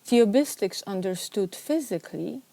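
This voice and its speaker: A woman's voice: medium pitch, lively intonation, moderate pace, gravelly, warm tone. A woman giving a scripted reading of a mock-scholarly literary essay. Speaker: reader of a literary text. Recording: faint background noise.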